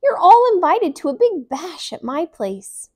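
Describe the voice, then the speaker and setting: A woman voicing a boastful, excitable female elephant in a storybook read-aloud, exclaiming and exaggerating everything she says. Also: sits high, a little slow. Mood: exuberant.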